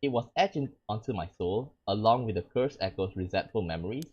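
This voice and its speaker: A haunted, resolute mother from a horror visual novel, as a man reads her lines. The voice sits low and husky, with an earnest, even delivery that still moves lively in pitch. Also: brisk, dull audio. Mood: grave. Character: determined cursed mother, grim and haunted. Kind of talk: reading visual novel dialogue aloud.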